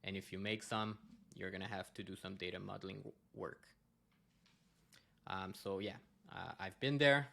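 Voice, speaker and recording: Man, Québécois French accent, conference talk, fairly low pitch, explanatory, frequent fillers and restarts. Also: fairly even intonation, normal speed, creaky, pauses often, fairly bright tone, slight background noise. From Quebec, Canada. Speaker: conference speaker. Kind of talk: conference presentation.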